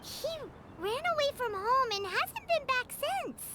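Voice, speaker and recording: An actress voicing a tiny, chirpy, gluttonous fairy girl in a video game, with an excitable, childlike delivery. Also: measured pace, very noisy, heavily acted. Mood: gossipy, hesitant. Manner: squeaky, excitable, childlike, speaks of herself in third person. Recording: loud music under the voice.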